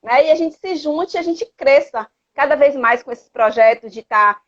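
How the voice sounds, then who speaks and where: A woman from Salvador with a Bahian Brazilian accent hosts a casual live chat. Her voice sits fairly high and she speaks in an earnest, exhortative way, checking in with little tag questions. The livestream audio is dull and lo-fi. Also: moderate pace. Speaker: host of a live chat, activist parent. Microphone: live social media video call.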